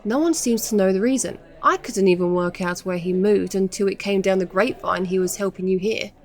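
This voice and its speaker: A woman acts out a nosy investigator in an audio drama. She is cheeky, probing and smooth-talking, in a mid-pitched voice. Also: brisk, a little mellow, close to an everyday voice. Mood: probing, breezy.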